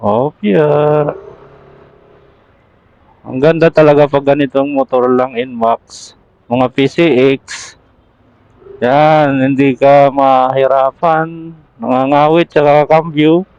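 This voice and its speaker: A man's voice: mid-range voice, fairly even intonation, slow pace, long pauses, a little mellow, clean tone, dry recording, dull audio. A man chatting casually as a motorcycle vlogger through a helmet intercom while riding. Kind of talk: casual riding vlog chat. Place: outdoors, riding a motorcycle in traffic.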